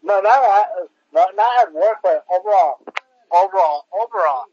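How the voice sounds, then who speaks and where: A man co-hosting a podcast, chatting casually about politics in a halting way, piling up fillers and restarts. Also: sits high, lively intonation, darkish tone, slightly rough, dry recording, lo-fi sound. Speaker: podcast co-host.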